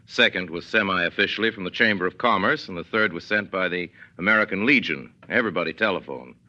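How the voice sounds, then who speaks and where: A man narrating a scripted 1940s radio play with measured, wry authority. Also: low-pitched, bright, forceful tone, heavily acted. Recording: dull 1940s radio recording.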